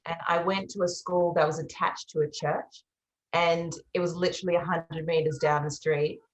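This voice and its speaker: A woman's voice: lowish voice, steady tone, normal speed, roomy sound, low-quality recording. A young Australian woman, a musician, answering interview questions in a reflective, earnest way. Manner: reflective and earnest, with soft fillers. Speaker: musician guest.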